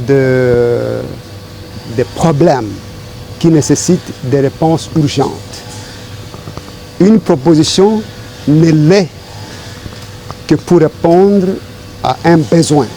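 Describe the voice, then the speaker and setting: A middle-aged man from Congo answering interview questions in a deliberate, formal, rhetorical way. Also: on the high side, warm tone, a little hiss or hum. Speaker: interview guest.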